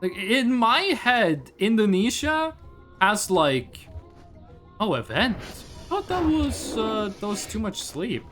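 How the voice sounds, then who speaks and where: A man from Norway who speaks Norwegian natively, with a high voice that moves around a lot in pitch. He talks slowly and ramblingly as he streams a game, casual and curious, with music playing clearly behind him. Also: sing-song. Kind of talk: casual livestream chat over gameplay.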